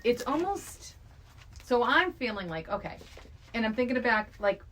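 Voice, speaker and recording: A woman's voice: a little high, very animated, measured pace, a bit bright. A middle-aged woman chatting casually and frankly on a podcast, conversational and opinionated. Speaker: podcast co-host. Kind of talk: casual film discussion.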